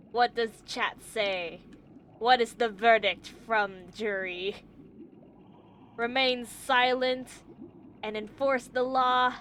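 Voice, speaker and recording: Casting: woman game streamer, leisurely pace, addresses viewers casually, thinks aloud, lightly high register. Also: a bit bright, slight background noise. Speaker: livestreamer.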